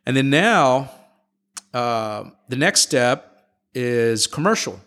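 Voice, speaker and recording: A middle-aged man, an airline captain, telling his story in a casual interview, relaxed and explanatory with frequent fillers and restarts. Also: mid-range voice, slow.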